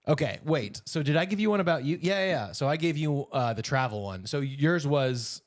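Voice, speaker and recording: Man, podcast co-host, casual banter, very lively intonation, playful teasing, close dry sound. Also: a little high, brisk, no room sound.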